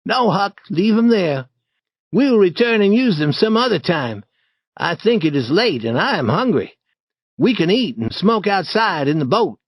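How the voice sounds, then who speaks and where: A man's voice: sits high, moderate pace, slightly rough, dry recording, clean studio sound, pitched above the performer's own voice, full character voice. A man doing an eager bold young boy. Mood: confident. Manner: confident, excited, urging.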